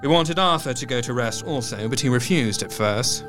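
An actor playing a melancholy, dutiful doctor, narrating his diary in a measured, formal way for an audio drama. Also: mid-range voice, expressive, over music, natural voice. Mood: weary, sorrowful.

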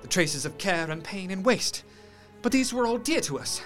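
Guy acting earnest victorian gentleman telling a horror tale, highish voice, music under it. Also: animated, normal speed. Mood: awed, moved. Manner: dramatic, literary, earnest. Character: earnest gentleman doctor recounting a gothic horror. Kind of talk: radio play narration.

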